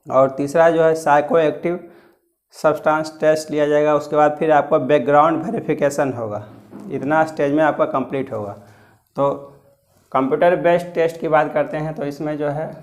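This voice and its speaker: A man with an Indian accent explaining exam guidance as a coaching teacher, repeating and rephrasing his points in two languages. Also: a little high. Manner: repeats and rephrases points, switching between Hindi and English.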